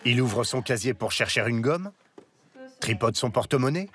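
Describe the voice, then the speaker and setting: A man narrating a documentary in a measured, scripted voice-over. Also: medium pitch, lively intonation, fairly bright tone. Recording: voice-over with faint music.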